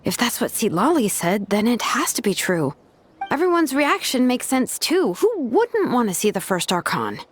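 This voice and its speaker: A woman's voice: fairly high pitch, very animated, normal speed. An actress playing a laid-back, teasing young woman in a video game, with a casual, drawling manner. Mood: easygoing. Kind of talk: video game character dialogue. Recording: music behind the voice.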